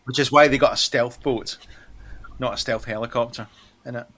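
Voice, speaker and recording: A man with a husky voice, chatting casually about films. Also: medium pitch, fairly even intonation, moderate pace, fairly bright tone. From United Kingdom. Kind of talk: casual film discussion.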